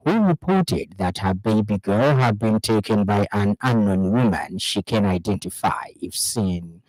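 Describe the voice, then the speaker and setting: A man with a Zambian accent reading a scripted radio news bulletin in a formal, measured announcing manner. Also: on the low side, a little mellow, dry recording, low-quality recording.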